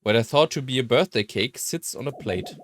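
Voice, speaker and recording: A man reading a visual novel aloud in a halting, slightly theatrical way. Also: mid-range voice, animated, a little hiss or hum. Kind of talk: expressive read-aloud narration of a visual novel.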